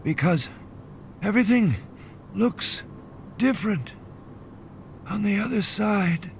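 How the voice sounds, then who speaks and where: A man voicing a feverish, dying man in weary, halting fragments for an audiobook drama. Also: high voice, expressive, a little hiss or hum, voice pushed up, heavily acted. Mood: dreamy, weak. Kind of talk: dramatic audiobook character reading.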